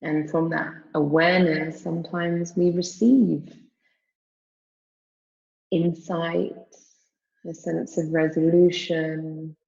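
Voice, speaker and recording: Female meditation guide: low, even-toned delivery, soothing and measured, lots of space between phrases, slightly dull recording. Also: vocal fry. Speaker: yoga nidra meditation teacher. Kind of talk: guided meditation, spoken slowly with long pauses.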